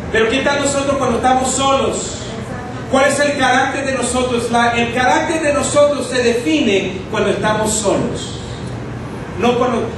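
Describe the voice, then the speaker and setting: A man in preaching a sermon to a congregation, teaching through rhetorical questions. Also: very high-pitched. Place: church hall with congregation. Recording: echoey hall, distant mic, noisy.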